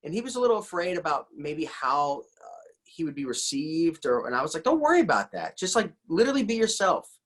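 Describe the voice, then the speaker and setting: A man's voice: a little high, very animated, brisk. A man hosting a casual interview in an encouraging, enthusiastic, rambling conversational way, often repeating his words. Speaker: interview host.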